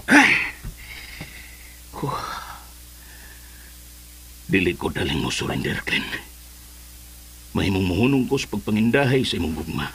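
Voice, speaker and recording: An actor with a Cebuano Filipino accent playing a lovesick, persistent suitor in a radio drama. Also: male voice, low voice, sing-song, measured pace, gravelly, pauses often, pushed and bright, husky, exaggerated voice. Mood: stubborn longing.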